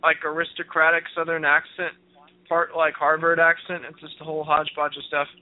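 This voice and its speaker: High-voiced man on a dry phone line. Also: monotone, fairly quick. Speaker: radio show co-host. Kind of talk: casual radio chat. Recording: dry, narrow phone-like sound.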